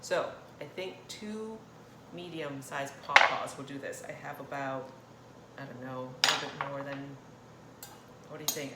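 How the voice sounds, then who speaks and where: Low-voiced, chatty woman in an echoey kitchen. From Thailand. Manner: chatty, rambling, self-interrupting. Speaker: cooking channel host. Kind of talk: casual cooking demonstration. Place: indoor kitchen. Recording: roomy echo, clear kitchen noise, clattering dishes. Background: cutlery and dish clatter.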